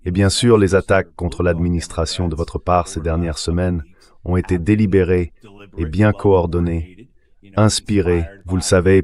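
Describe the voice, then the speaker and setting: A man's synthetic translation voice delivering measured, explanatory political commentary as an interview guest. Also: sits low, a little mellow.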